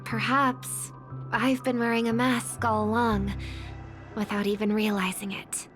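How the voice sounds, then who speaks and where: An actress voicing a gentle, earnest and wistful young woman in a video game, speaking softly and with measured care. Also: fairly high pitch, flat delivery. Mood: soft, pensive. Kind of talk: video game voice acting, English dub. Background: background music.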